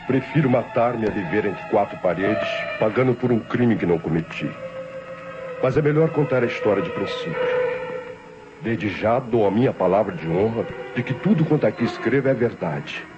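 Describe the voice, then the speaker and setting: An actor playing an idle, self-justifying nephew who narrates his confession formally and deliberately, with a Brazilian accent, in an old radio drama. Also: lowish voice, lively intonation, natural voice. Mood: solemn, defensive. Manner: formal, deliberate, theatrical diction. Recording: dull old radio recording with music.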